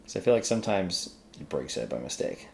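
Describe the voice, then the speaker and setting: A man's voice: sits low, sing-song, brisk, creaky, a little mellow, a little hiss or hum. A man talking casually through a coding task as a screencast host, often muttering to himself as he works. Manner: mutters to self while working. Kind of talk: casual think-aloud coding tutorial.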